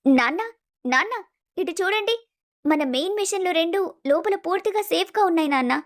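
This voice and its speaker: Woman as a fast, high-voiced determined daughter. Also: animated. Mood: excited, confident. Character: determined, encouraging young daughter of mill owner. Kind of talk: dramatized moral story acting.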